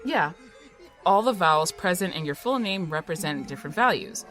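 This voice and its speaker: A sarcastic young skeptic, played by a woman in an audio drama. Her fairly low voice moves in lively swings, delivering dry digs. Faint music sits underneath. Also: normal speed.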